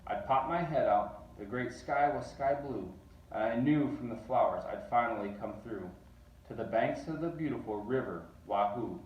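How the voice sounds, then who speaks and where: A man reading a rhyming children's story aloud in an expressive, narrating way. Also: medium pitch, moderate pace. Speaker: storybook reader. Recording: roomy, distant, dull sound.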